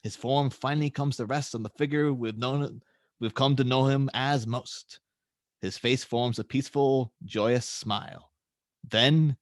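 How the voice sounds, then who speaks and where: A man's voice: mid-range voice, no echo. Man narrating a script, very dry sound. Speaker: narrator reading stage directions. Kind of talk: dramatic script narration at a table read.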